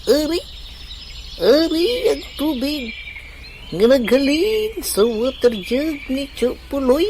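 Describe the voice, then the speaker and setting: A woman's voice: sits high, expressive, takes it slowly, darkish tone, slight background noise, full character voice. A woman playing a solemn young nephew in a comic audio drama, speaking earnestly with a Filipino accent.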